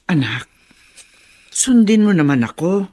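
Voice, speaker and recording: Isang babaeng aktor na gumaganap bilang nag-aalala at nakikiusap na ina sa dulang panradyo. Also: female voice, lowish voice, big pitch swings, unhurried, warm tone, dry recording. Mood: worried, pleading. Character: worried, pleading mother of a grown son. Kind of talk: Filipino radio drama acting.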